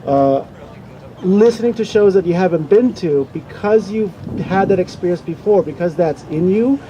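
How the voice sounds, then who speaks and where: A man speaking as an academic presenter, answering informally in a thoughtful, halting way and correcting himself. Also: sits high, dull audio. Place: outdoor campground at an amphitheater. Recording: outdoor event with faint background noise.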